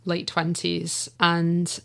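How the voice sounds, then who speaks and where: Chatty Manx woman, low voice. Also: completely flat delivery, drawn-out words, vocal fry, fairly bright tone. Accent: Manx English. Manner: chatty, laughs often, trails off to avoid spoilers. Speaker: audio drama writer and guest. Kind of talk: casual radio interview.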